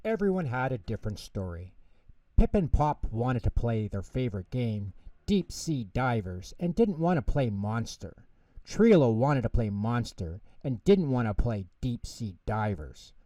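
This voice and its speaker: Brief: male storyteller, children's bedtime narration, animated intonation, unhurried, slightly distant mic. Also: mid-range voice, no echo.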